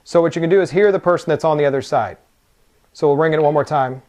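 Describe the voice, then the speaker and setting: Guy with a slightly high voice, casual hands-on review, walks you thru it step by step. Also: fast, noisy background. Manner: informal, explains step by step. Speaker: product reviewer. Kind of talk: casual unboxing and hands-on demo talk.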